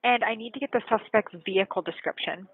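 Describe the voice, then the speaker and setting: Husky woman call taker on tinny phone. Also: medium pitch, fast talker. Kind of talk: procedural questioning on emergency call. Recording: tinny narrowband emergency phone line.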